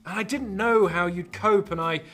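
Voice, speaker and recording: An actor playing a flustered, secretive boyfriend who works in intelligence, stammering and evasive, in a radio drama. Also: male voice, high voice, moderate pace, sharp tone, faint music behind. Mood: reassuring. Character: flustered, secretive boyfriend, a male MI5 computer agent.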